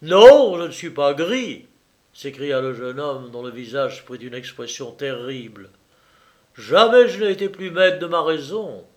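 A man's voice: mid-range voice, measured pace, a bit bright, close to an everyday voice. A man voicing a feverish, sardonic young man who speaks vehemently at a dinner party in a storybook reading.

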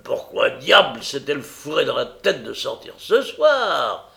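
A man voicing a brutal, bullying brigand in a big gruff voice, barking threats and oaths in a story reading. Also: mid-range voice, big pitch swings, measured pace, bright, forceful tone, pitched above the performer's own voice, heavily acted. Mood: gruff, exasperated.

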